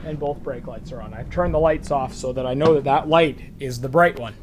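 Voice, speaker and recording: A hoarse man hosting a car how-to video, casually walking around his truck outdoors and explaining each step to the viewer while holding the camera in one hand. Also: mid-range voice, fairly quick, heavy background noise. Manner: relaxed, chatty, talking to the viewer while working. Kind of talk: casual hands-on demonstration. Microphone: camera held in one hand.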